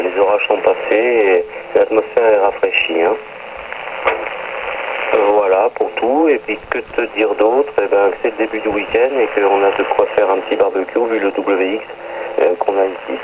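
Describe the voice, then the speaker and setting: A man heard through a radio receiver, chatting emphatically as he gives a casual report to another operator. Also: on the low side. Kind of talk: casual radio contact chat, signal reports. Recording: noisy, dull amateur radio reception.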